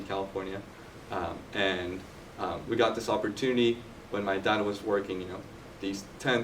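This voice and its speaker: A young man from Turkey, telling his story in a relaxed, chatty way with many fillers at a live podcast event. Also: fairly low pitch. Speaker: guest entrepreneur. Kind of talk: casual storytelling interview. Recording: distant mic with faint background noise.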